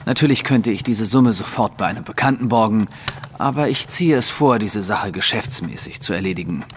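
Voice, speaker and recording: A man's voice: on the low side, brisk, close to an everyday voice. An actor playing a haughty, secretive nobleman in an audio drama, speaking formally and with self-assured command. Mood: businesslike, urgent. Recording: reverberant hall, faint background noise.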